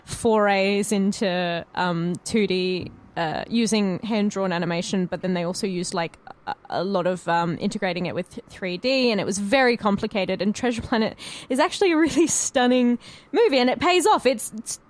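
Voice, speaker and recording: A woman's voice: mid-range voice, normal speed. A woman co-hosting a podcast, discussing film and TV in an informal, sweary way. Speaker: podcast co-host.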